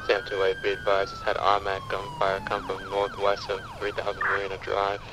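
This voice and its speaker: Monotone man on noisy police radio. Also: lowish voice, a little fast. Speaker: role-player as a police unit on radio. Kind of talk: police radio calls in game role-play. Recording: noisy distant radio with sirens.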